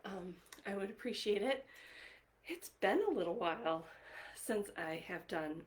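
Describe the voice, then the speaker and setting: A woman hosting a live online story time for young children, speaking directly to them in an encouraging, gently questioning way. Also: mid-range voice, animated, measured pace. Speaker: library story time host.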